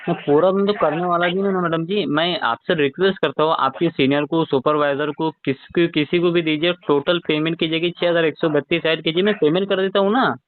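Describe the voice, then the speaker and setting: A man's voice: fairly high pitch, steady tone, brisk, few pauses. A man speaking as a customer arguing on a phone call, skeptical and firm, often repeating himself. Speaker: borrower, customer on a recovery call. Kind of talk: phone argument. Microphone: telephone line. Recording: tinny phone call recording, faint music.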